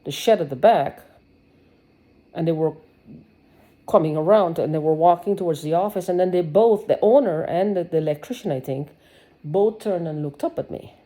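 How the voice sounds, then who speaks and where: A woman's voice: fairly low pitch, normal speed, mellow. A woman giving a rambling personal video monologue, agitated and sarcastic, swearing and restarting her sentences. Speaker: vlogger.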